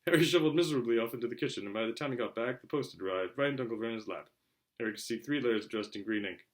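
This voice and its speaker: A man narrating a children's novel aloud in an animated way, occasionally stumbling over words. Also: mid-range voice, rapid-fire. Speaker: reader, show host. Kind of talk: amateur audiobook-style story narration.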